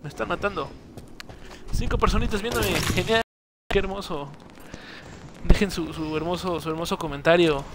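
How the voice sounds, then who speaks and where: A man's voice: sits high, normal speed, pauses often, background noise. A young man, casually streaming a game and teasing his friend. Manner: teasing, playful insults. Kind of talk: casual gaming livestream banter.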